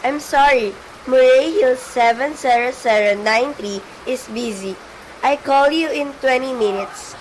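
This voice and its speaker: A woman's voice: high-pitched, measured pace. A woman playing a polite, unruffled telephone operator in an amateur suspense radio play, speaking in formulaic phrases. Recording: noisy amateur recording with faint hiss.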